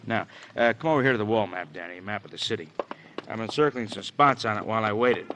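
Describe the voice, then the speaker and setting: A low-voiced American male police detective from a 1950s radio drama, played by an actor, wry and roundabout, handing out hints piece by piece. There is faint hiss on the old recording. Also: fast talker, lightly acted. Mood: methodical, knowing. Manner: drawling out hints, tagging questions with huh.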